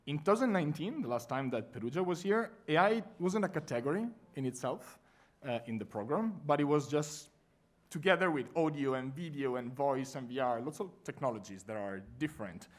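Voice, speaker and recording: A man with an Italian accent presenting a conference workshop to a live audience, talking in a friendly, lively way with frequent fillers. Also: fairly high pitch, fairly quick. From Italy.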